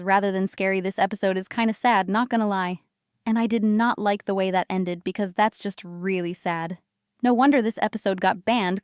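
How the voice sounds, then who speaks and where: Woman, casual commentator, crisp tone, dry recording, mid pitch. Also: no room sound. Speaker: video host.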